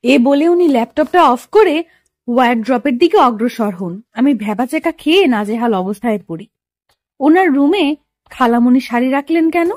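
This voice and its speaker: A woman's voice: sits high, lively intonation, moderate pace, darkish tone, dry recording, clean studio sound. A woman playing a shy, anxious young woman in love, telling a romantic audio story in the first person. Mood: shy, bemused.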